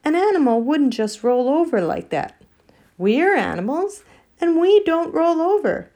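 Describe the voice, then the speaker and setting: A woman's voice: high voice, very animated, unhurried, warm tone, voice pushed up, full character voice. A woman voicing an earnest, literal-minded male bear in a storybook, simple and emphatic in manner. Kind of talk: storybook character voice.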